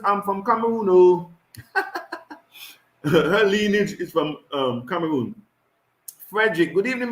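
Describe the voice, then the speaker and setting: Man, host, reads out viewer greetings, stumbles over names, fairly high voice, roomy echo. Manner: reads names haltingly with frequent laughs. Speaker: host of an online community call. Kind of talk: reading out chat greetings.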